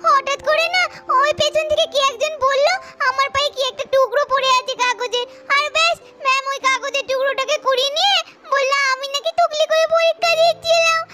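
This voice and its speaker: A woman voicing a tearful, pleading little schoolgirl in a cartoon, with a high childlike whine, over loud music. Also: fairly even intonation, brisk.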